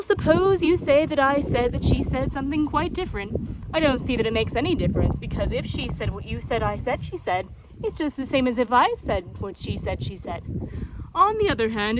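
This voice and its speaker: Woman playing a sly, pedantic storybook tortoise, animated. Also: sits high, background noise. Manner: pedantic, teasingly contrary. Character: slow solid male tortoise, sly, pedantic riddler.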